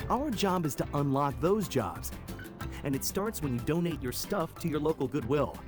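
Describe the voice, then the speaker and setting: A man's voice: on the high side, expressive, normal speed. Playful male ad announcer over music. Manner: playful, teasing questions. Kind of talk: scripted commercial narration. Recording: music bed under voice.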